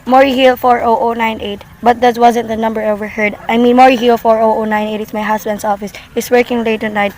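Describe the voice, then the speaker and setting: A woman's voice: on the high side, level tone, quick pace, hardly any pauses, a little mellow, close to an everyday voice, rough recording. A woman playing an anxious, demanding invalid wife in an amateur radio play, fretful, insistent and wordy. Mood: anxious, explaining. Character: anxious, demanding invalid wife reporting an overheard murder plot.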